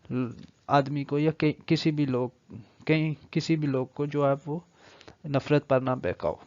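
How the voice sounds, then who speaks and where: A Pakistani man teaches law at a very slow pace, moving between Urdu and English. He reads formal text aloud and then explains it. The sound is dry and dull, like a basic lo-fi microphone. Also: medium pitch, a little mellow, no echo. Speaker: law lecturer. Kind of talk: online lecture, reading out legal text and explaining it.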